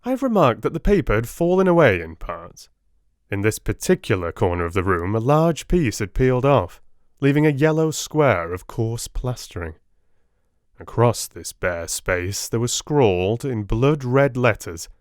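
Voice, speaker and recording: A man narrates a classic detective story with theatrical care. His medium-pitched voice is very expressive and varied, and the sound is dry and close. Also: unhurried, no room sound. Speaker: audiobook narrator.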